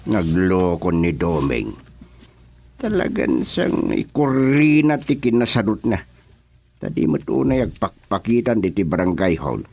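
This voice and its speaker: A man's voice: fairly low pitch, big pitch swings, a little slow, vocal fry, darkish tone, faint music behind, full character voice. An actor with an Ilocano accent playing an exasperated, blustery village chairman in a comedic radio drama. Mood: scolding. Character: exasperated male village chairman presiding over a hearing.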